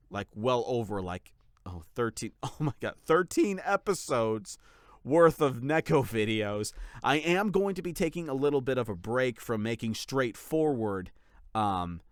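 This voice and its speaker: A man with a smooth, clear voice and a medium pitch, recorded close and dry. He talks informally to his followers as a channel creator, with gushing gratitude in his delivery. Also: dry recording. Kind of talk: casual update to followers.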